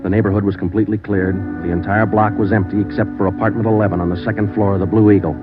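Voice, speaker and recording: A man's voice: on the low side, rapid-fire, lightly acted. A man playing a laconic American homicide sergeant, narrating a 1940s radio crime drama in a clipped, matter-of-fact way. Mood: deadpan. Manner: deadpan, terse, matter-of-fact. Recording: dull 1940s radio recording, music behind.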